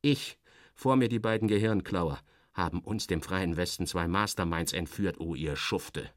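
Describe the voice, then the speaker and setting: A man giving a dramatic first-person literary narration as in a radio play, theatrical and sardonic, with expressive shifts of emphasis. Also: deep voice, moderate pace, dry recording, clean studio sound.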